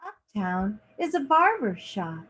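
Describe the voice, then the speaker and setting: A woman reading a children's picture book aloud in short, deliberate phrases, like a teacher. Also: medium pitch, very animated, fairly bright tone.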